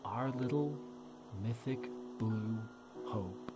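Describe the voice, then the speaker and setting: A man playing a young father in dramatic animated voice acting, with music under the voice. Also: medium pitch, slow pace, close to an everyday voice. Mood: tender. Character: proud, affectionate young father, a stallion.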